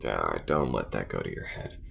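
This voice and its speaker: A man acts out a guarded alien crewmate for an audio roleplay, extremely low and thick with gravelly fry. He mutters haltingly and grudgingly, gruff but anxious underneath, his pitch dipping and rising over a faint hiss. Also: very animated, a bit bright, heavily acted. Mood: teasing threat. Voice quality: very deep, gravelly. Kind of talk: intimate ASMR roleplay acting.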